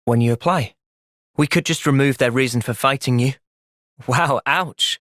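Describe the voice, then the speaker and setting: A man voicing an earnest, thoughtful young fighter in a video game, measured and sincere but capable of impassioned intensity. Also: medium pitch, a bit bright, no room sound, studio quality, natural voice. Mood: thoughtful, steady. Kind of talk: video game cutscene voice acting.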